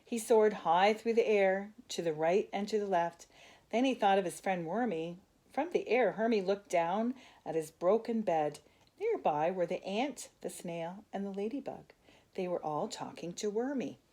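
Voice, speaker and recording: An older woman reads a children's picture book aloud in a gentle, expressive way, with asides to a young listener. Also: medium pitch, normal speed. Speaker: grandmother storyteller.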